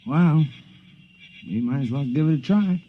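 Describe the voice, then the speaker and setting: An actor playing a wry, down-to-earth stranded companion in 1950s radio drama style, on a dull lo-fi 1950s radio recording. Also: male voice, fairly high pitch, expressive, a little slow.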